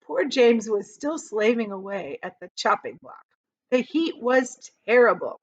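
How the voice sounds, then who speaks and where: A woman reading a children's book aloud like a teacher, in an expressive storytelling style. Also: on the high side, a little slow. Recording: slightly dull, lo-fi sound.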